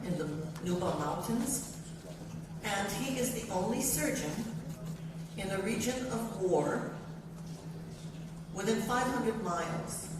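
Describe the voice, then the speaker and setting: An older woman speaks earnestly at a university event, building her points in short, emphatic lists. Her voice is heard from a distance in a large hall, with a long echo that lingers after each phrase. There is clear room noise behind her. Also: mid-range voice. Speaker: event speaker introducing a guest. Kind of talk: speech to a university audience. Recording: echoey hall, voice from far off.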